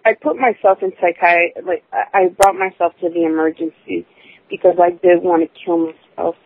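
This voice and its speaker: A woman in her twenties calling in to a talk show. She tells her story haltingly, restarting and correcting herself often. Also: fairly low pitch, steady tone, a little hiss or hum. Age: 20s. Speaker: caller. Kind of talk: personal account on a call-in show. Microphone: phone. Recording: tinny phone line.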